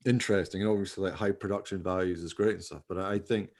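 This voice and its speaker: A man's voice: low-pitched, steady tone, fast, a little mellow. A man chatting casually and in a rambling way as a podcast host. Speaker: podcast host and radio play maker. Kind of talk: casual chat.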